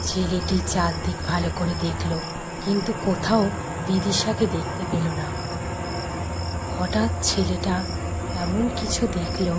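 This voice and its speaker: A woman's voice: on the low side, steady tone, measured pace, pauses often, over loud music. A woman narrating a scripted horror story as a storyteller.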